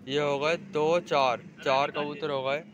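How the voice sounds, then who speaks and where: A man's voice: on the high side, fairly even intonation, slow pace, noisy background. A young man vlogging casually from a rooftop, showing off pigeons and directing his friends as he goes. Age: young adult. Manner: chatty, directing friends, calling out to viewers. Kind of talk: casual vlog narration. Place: outdoors on a rooftop. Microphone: phone camera held in hand.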